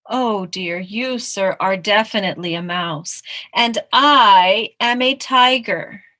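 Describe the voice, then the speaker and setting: A woman's voice: medium pitch, unhurried, a bit bright, close to an everyday voice. A woman voicing a huge storybook tiger who talks down to a tiny creature in an emphatic way. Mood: scornful.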